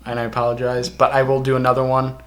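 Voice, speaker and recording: A man hosting a casual fan discussion, speaking in a halting, rattled and intense way. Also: mid-range voice, monotone, fairly bright tone, slight background noise. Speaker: video host.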